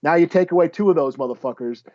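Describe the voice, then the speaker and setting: A man co-hosting a podcast in casual, sweary chat, halting as he thinks aloud. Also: a little high, no room sound, dull audio. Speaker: podcast co-host.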